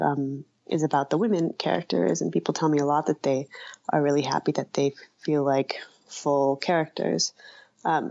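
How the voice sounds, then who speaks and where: A woman giving conversational interview answers as an author, thoughtful and halting, often rephrasing mid-sentence. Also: low voice, creaky, darkish tone, no room sound, highs cut off.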